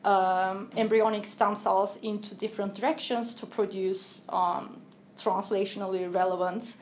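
A woman from Turkey, a researcher guest answering interview questions in a careful, explanatory way with thoughtful pauses. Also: mid-range voice, little pitch movement, a little hiss or hum.

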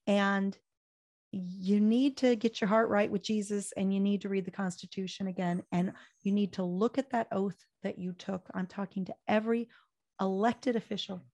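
Hoarse fiery older woman talk host. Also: medium pitch, steady tone, moderate pace, darkish tone. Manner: fiery, preachy, chatty sign-off. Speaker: radio talk show host. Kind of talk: impassioned political talk show.

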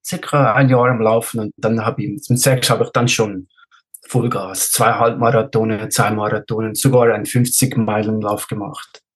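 A man's voice: lowish voice, steady tone, normal speed, husky. A man with a Swiss German accent chatting casually, searching for words and mixing in bits of English. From Switzerland. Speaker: interview guest, ultrarunner and race director. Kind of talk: casual chat, telling anecdotes.

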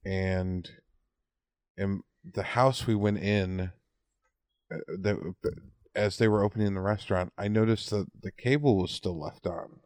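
A man in his forties from the United States, chatting casually on a podcast and telling anecdotes in a halting, word-repeating way. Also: low voice, steady tone, a little mellow, dry recording. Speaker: podcast co-host.

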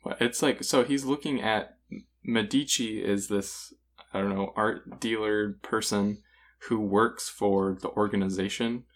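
A man's voice: fairly low pitch, fairly even intonation, measured pace. A man co-hosting a podcast, giving his thoughts in casual, conversational review talk. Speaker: podcast co-host. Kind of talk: casual comic review discussion.

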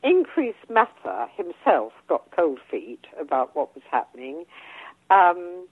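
A woman's voice: lowish voice, very animated, slow, husky, no echo. Older English woman on a phone line. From England. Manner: hesitant, many ums and restarts. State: losing her voice. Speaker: guest author. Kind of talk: casual interview talk.

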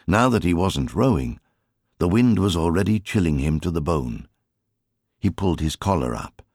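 A man reads a story as an audiobook narrator. His voice is very deep and husky, with wide, expressive swings in intonation. It is recorded dry and close. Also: moderate pace, no room sound.

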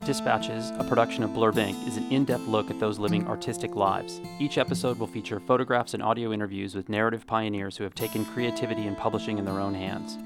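Fast-talking low-voiced male podcast host. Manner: chatty, enthusiastic, stumbles and restarts. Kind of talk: scripted intro, then casual interview. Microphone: desk mic.

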